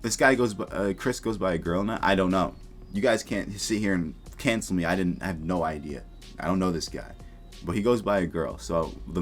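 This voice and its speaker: A man with a deep voice delivers casual, unscripted reaction commentary over faint music. His manner is blunt and slangy, with plenty of profanity. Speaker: YouTube commentator. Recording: music behind the voice. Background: background music.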